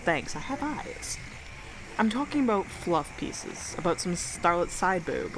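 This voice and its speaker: A young woman playing a sardonic, blunt homeless survivor of the apocalypse in an audio drama. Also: on the low side. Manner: sarcastic, blunt, slangy. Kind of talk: radio drama monologue. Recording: clearly noisy, ambient background noise.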